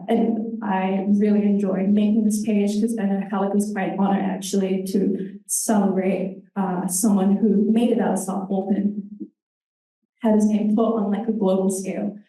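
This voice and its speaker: Young New woman, earnest halting presenter, dark mellow tone, heard from afar in a roomy hall. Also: mid-range voice. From New Zealand. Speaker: intern presenter. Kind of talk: conference presentation. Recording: roomy hall, distant mic.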